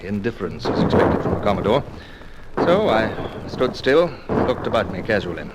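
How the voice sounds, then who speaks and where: An actor playing a self-possessed naval commodore in an old-time radio drama, with clipped, understated delivery. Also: male voice, on the low side, big pitch swings, normal speed, very noisy. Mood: measured, reflective. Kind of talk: old-time radio drama acting with first-person narration. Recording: vintage radio recording, music underneath.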